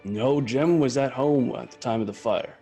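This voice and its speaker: A man playing a deadpan, gullible detective with a clipped manner in a comedy noir radio play. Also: mid-range voice, normal speed, natural voice. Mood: deadpan, businesslike. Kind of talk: radio drama comedy acting. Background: music.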